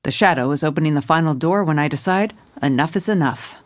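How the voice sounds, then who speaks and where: A woman's voice: low-pitched, quick pace, close to an everyday voice. A woman playing a grave police commissioner, narrating her case in a dramatic noir style. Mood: determined.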